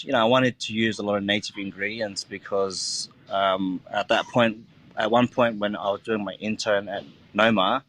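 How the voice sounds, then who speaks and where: A relaxed Australian man in his thirties talks about his cooking in a loose, meandering way, full of "like" and "you know". His voice sits a little low. He sounds easygoing and unpolished, as in a casual interview. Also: low-quality recording. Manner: relaxed, rambling, many fillers like "like" and "you know". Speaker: chef and restaurant owner.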